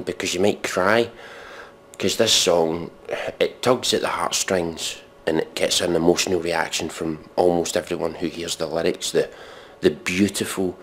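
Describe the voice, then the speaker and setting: Deep-voiced man with deadpan sarcasm. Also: slight background noise. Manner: deadpan, mock-earnest, sweary. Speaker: commentator. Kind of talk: sarcastic dramatic reading and commentary.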